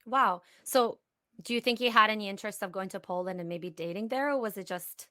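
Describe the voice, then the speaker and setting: A woman's voice: mid-range voice, a little fast, pushed and bright, no echo, studio quality. A woman hosting a podcast, asking her guest questions in a friendly, upbeat way. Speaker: podcast host. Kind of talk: podcast interview.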